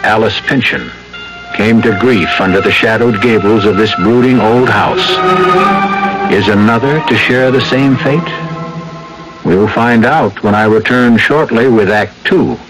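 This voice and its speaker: A man hosting a 1970s radio mystery, narrating with ominous, deliberate phrasing. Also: fairly low pitch, poor audio. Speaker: drama series host. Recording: old radio broadcast with music.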